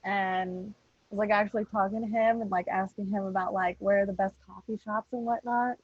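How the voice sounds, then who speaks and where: A woman's voice: medium pitch, fairly even intonation, a little fast, no echo, lo-fi sound. A woman chatting casually with slangy phrasing and some easy swearing. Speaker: podcast cast member. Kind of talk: casual chat.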